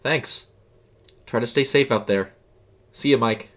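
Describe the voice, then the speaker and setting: A man voicing a friendly young woman who works in fast food, chatting casually in an audio story. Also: medium pitch, fairly bright tone, natural voice. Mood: caring.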